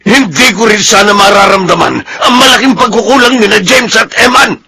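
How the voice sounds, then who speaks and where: A man's voice: high voice, normal speed, pushed and bright, full character voice. An actor with a Filipino accent playing an earnest, heartfelt family man in a radio melodrama. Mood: anguished. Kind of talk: radio drama acting.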